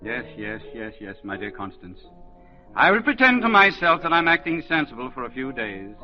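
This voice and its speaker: An actor playing a gruff, sardonic older psychoanalyst who scolds and mocks his former pupil, in 1940s radio acting. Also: medium pitch, sing-song, moderate pace, soft background music, lightly acted. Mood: scolding. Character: gruff older male psychoanalyst mentor, teasing, stubborn. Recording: dull 1940s radio broadcast.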